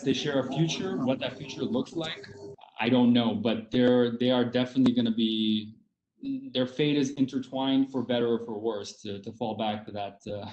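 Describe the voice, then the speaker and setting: A man giving a measured academic panel talk, pausing on fillers and restarting phrases. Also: on the low side, darkish tone. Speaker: panel speaker. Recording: muffled roomy sound.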